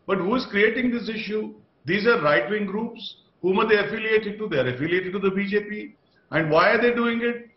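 A middle-aged man with an Indian accent, a party spokesperson arguing in a TV debate with emphatic repetition and rhetorical questions. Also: high-pitched. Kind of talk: TV debate argument. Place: small room, indoors, on video call. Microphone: video call. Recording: dull, echoey video-call sound.

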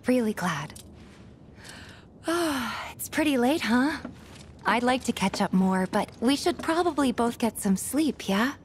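An actress with an American accent playing a warm, earnest young woman fighter in a video game. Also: on the high side, expressive, slow, slight background noise. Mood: warm, gentle. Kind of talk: video game cutscene voice acting.

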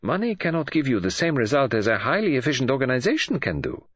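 A man narrating an audiobook voices a fussy, formal older male detective, speaking in a measured, soothing manner. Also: medium pitch, big pitch swings, slightly rough, dry recording, muffled recording, voice pushed up. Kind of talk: audiobook character voice.

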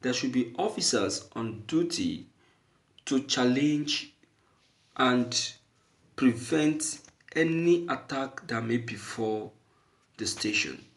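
Male online commentator, mid-pitched with a creaky edge, emphatic and halting, opinionated take on the news. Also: animated. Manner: emphatic, repeating phrases for stress.

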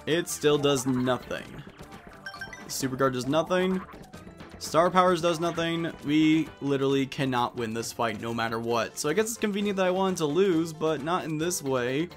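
A young man giving casual, animated commentary as the host of a video game let's play. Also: a little high, normal speed, faint music behind.

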